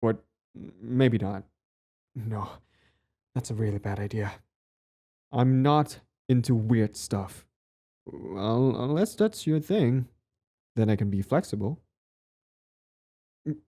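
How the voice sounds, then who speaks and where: A man's voice: medium pitch, expressive, takes it slowly, pauses often, mellow. A man playing a cocky but easily flustered villain devoted to a date, in a casual, wry romantic audio roleplay. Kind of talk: one-sided romantic audio roleplay, conversational.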